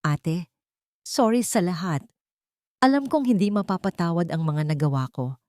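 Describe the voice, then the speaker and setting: A woman's voice: lowish voice, lively intonation, normal speed, dark tone, no echo, clean studio sound, natural voice. A woman voicing a frightened, guilt-ridden younger sister, trembling and pleading, in an audiobook drama. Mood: remorseful, resolved. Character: frightened, remorseful younger sister secretly helping a captive.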